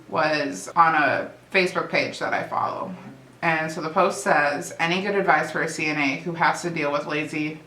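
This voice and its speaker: A young woman talking casually to camera as a vlogger, chatty and relaxed with fillers and restarts. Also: sits low. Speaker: vlogger and nursing aide. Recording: echoey room.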